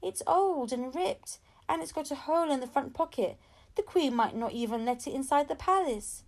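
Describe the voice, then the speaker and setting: A woman's voice: high-pitched, animated, moderate pace, pitched above the performer's own voice. A woman voicing a blunt, concerned young schoolgirl in a children's audiobook, frank and fretful. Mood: blunt, worried.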